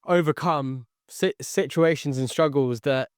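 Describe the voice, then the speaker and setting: A man's voice: on the high side, unhurried, clear, resonant tone, no echo, clean studio sound. A young man whose first language was Tagalog, telling his life story as a podcast guest in an earnest way, repeating phrases and saying "okay" often. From born near London, raised in the Philippines. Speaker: guest, sales entrepreneur. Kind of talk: podcast interview.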